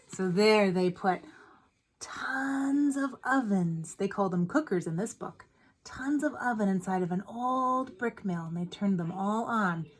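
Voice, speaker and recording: A woman's voice: medium pitch, animated, a little slow. A woman reading a picture book aloud like a teacher, expressively, with chatty asides and questions to young listeners.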